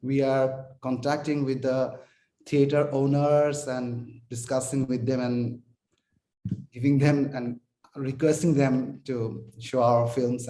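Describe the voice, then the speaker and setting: A man speaks slowly, in a low, mellow voice. He halts and repeats words as he searches for what to say. The sound is roomy and echoey, as in a cinema hall. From Bangladesh. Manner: halting, repeats words while searching for phrasing. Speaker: film director. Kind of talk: post-screening Q&A answers.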